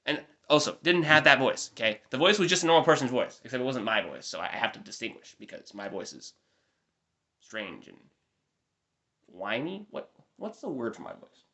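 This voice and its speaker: A man's voice: mid-range voice, very animated, normal speed, bright, forceful tone. A nasal young man giving a wry, rambling recap of a family trip in mock-formal wording. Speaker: vlogger.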